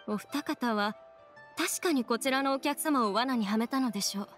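A woman voices a young teahouse attendant from a video game in a high voice. She is composed, formal and deferential, with soft music behind. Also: moderate pace, lightly acted. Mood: polite firmness. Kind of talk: Japanese game voice acting.

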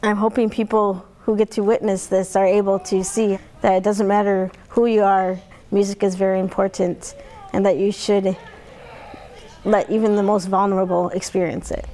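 A woman's voice: medium pitch, little pitch movement, moderate pace, warm tone. A woman, talking reflectively in an interview as a music therapist. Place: indoor room.

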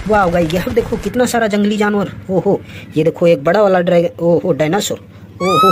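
A host narrating toy play for children in a playful, exclamatory way, full of repeated little cries of wonder. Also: medium pitch. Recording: very noisy background.

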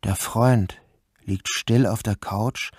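An actor playing a troubled grown son who narrates his own story in an introspective, measured literary style. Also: fairly low pitch, fairly even intonation, a little mellow, dry recording, studio quality. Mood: brooding, tense. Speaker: first-person narrator and protagonist. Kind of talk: literary audio drama narration.

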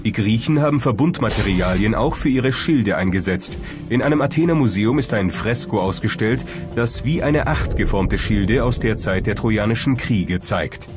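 Guy narrating a documentary, lowish voice, scripted, music going behind him. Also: expressive, normal speed. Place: studio voice-over. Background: prominent background music.

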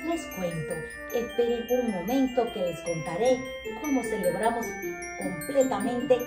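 A woman's voice: fairly high pitch, animated, measured pace. A Cuban woman in her sixties with a Cuban Spanish accent tells personal stories straight to the camera, chatty and reminiscing, often asking her viewers questions and answering them herself. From Cuba. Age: 60s. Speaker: vlogger. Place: living room. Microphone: camera across the room. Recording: echoey room with loud music behind.

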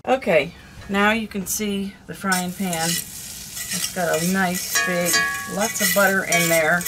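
An older woman with a hoarse throat, chatting casually through a cooking vlog in a small camper kitchen. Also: on the low side, fairly even intonation, takes it slowly, background noise. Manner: chatty, homey, rambling. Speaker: cooking vlogger.

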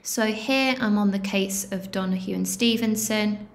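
A woman instructor narrating a software tutorial in a measured, step-by-step manner, with pauses. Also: medium pitch. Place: indoor screen-recording voice-over.

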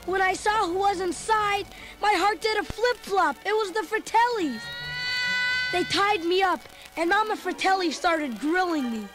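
A woman's voice: extremely high pitch, a little slow. A woman voicing a chubby, food-loving boy who narrates a storybook record with chatty, comic asides. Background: music.

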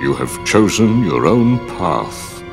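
An actor playing a cryptic, robed older man in a video game, speaking in a measured, theatrical, enigmatic way. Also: lowish voice, very animated, gravelly, music in the background, heavily acted. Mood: cryptic, grave. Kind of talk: video game cutscene acting.

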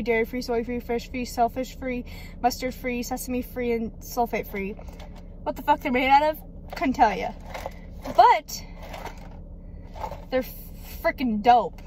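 A woman's voice: on the high side, steady tone, a little slow, pauses often, darkish tone, a little hiss or hum. An eighteen-year-old woman vlogging casually from a parked car, chatty and self-mocking, swearing freely. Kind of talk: casual vlog chat. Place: parked car at night, indoors. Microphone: phone camera held or propped close.

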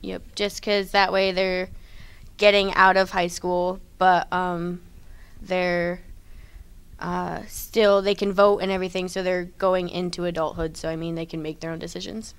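A young woman with a smooth, clear, slightly low voice gives casual interview answers. She speaks like a student, into a handheld microphone indoors. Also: flat delivery, unhurried. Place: indoor room with brick wall. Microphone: handheld microphone held out by interviewer.

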